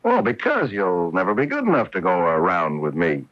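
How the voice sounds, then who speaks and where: An actor playing an earnest, wistful professional golfer in a radio mystery drama, with a husky voice. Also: male voice, deep voice, huge pitch swings, normal speed. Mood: dismissive, insistent. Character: wistful, earnest male professional golfer recalling his wife. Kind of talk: radio mystery drama acting, narration and dialogue. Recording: dull lo-fi AM radio broadcast.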